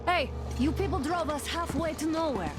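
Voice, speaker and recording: A woman's voice: sits high, normal speed, natural voice. An actress voicing a tough, defiant young woman, a commander's daughter, in a video game cutscene. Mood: feisty. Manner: sharp, angry, defiant. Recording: loud music under the voice.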